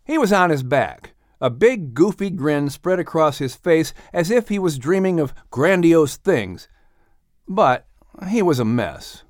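A man narrating a children's audiobook in an expressive, scripted storytelling style. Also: on the high side, unhurried, no room sound, clean studio sound.

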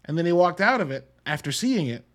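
A man's voice: high voice, big pitch swings, a little fast, fairly bright tone. A man hosting a casual solo movie review podcast, talking in an informal, slangy, emphatic way with some swearing. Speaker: podcast host.

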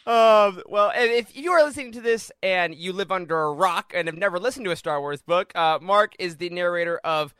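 Male podcast host, bright forceful voice, lively intonation, enthusiastic casual chat, dry close sound. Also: high-pitched, brisk, hardly any pauses, clean tone, no echo. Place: remote video call.

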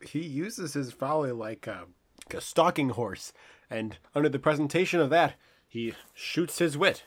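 Male actor as banished duke, slightly high voice, stately, welcoming, Shakespearean radio drama. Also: expressive, a little slow. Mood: amused. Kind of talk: Shakespearean radio play acting.

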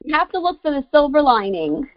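Woman host, upbeat and encouraging, bit high voice, dry muffled lo-fi sound. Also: sing-song, brisk, no echo. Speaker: podcast host. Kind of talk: conversational interview. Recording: dry, slightly muffled lo-fi audio.

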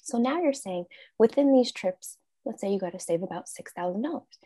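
A woman's voice: medium pitch, fairly quick, no room sound, studio quality. A woman life coach, a guest in an interview, talking casually and acting out her own thoughts in quotes. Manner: animated, acts out her own quoted thoughts, frequent laughs.

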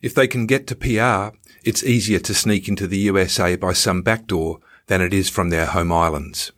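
Low-voiced man reading a travel story. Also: steady tone, moderate pace, a bit bright. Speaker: writer reading own travel stories. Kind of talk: scripted narration of a travel memoir.

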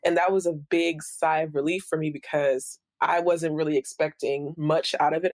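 A woman's voice: low-pitched, steady tone, normal speed, a little mellow, no room sound, clean studio sound. A woman in her thirties telling her career story in a casual interview, chatty and laughing often. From born in the Bahamas, raised in the US. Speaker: podcast guest.

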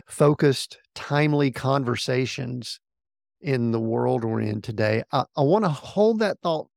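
A man hosting a podcast speaks in a gravelly voice with a mid pitch. He sets up his questions carefully, slowing down and restarting as he goes. The sound is dry and close. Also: animated, a little mellow, no echo. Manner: deliberate, slow in places, with restarts. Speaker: podcast host. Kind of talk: interview questions.

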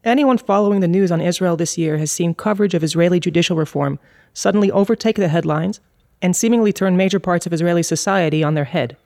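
A woman's voice: lowish voice, quick pace, gravelly, darkish tone, no room sound, studio quality. A woman hosting a podcast, with a polished, articulate delivery. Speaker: podcast host. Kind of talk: scripted podcast intro and moderating.

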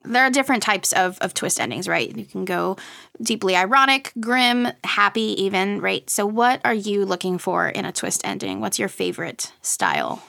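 Creaky-voiced woman hosting, dry close sound. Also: mid-range voice, sing-song, moderate pace, pushed and bright, no echo. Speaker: podcast host, interviewer. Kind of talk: casual conversational interviewing.

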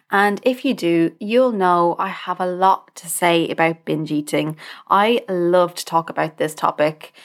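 A young adult woman with an Irish accent hosting a podcast, speaking informally and directly to the listener in a conversational monologue. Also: lowish voice. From Ireland. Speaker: podcast host, dietitian.

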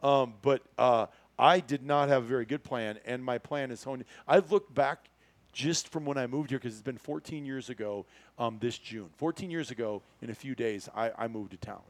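A man's voice: medium pitch, normal speed, no room sound. A middle-aged man with an American accent hosting a podcast in a casual, chatty, joking way with folksy exclamations. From Iowa, United States. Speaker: podcast host.

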